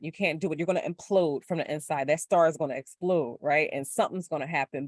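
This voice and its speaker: A woman with a low, gritty voice, chatting casually and reflectively as a guest, with lots of filler words. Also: no room sound, clean studio sound. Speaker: podcast guest. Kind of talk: casual spiritual chat.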